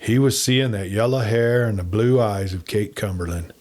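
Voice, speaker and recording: A man's voice: fairly low pitch, moderate pace, darkish tone. A man reading a western story as a scripted, dramatic audiobook narration.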